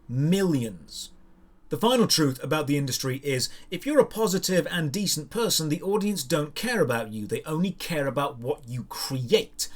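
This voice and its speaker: A man delivering scripted opinion commentary as a show host, in an emphatic, rhetorical way with deliberate stresses. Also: fairly high pitch.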